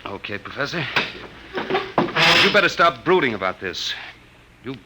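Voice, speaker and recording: An actor playing an eager, plain-spoken American sidekick in a 1950s radio drama, talking in punchy, incredulous slang. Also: male voice, mid-range voice, sing-song, unhurried, heavy background noise. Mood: incredulous, urgent. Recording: old radio recording with music.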